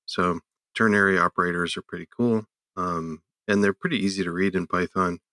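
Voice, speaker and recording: A man co-hosting a tech podcast, speaking casually in a hesitant, halting way. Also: deep voice, steady tone, pushed and bright, no room sound. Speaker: podcast co-host.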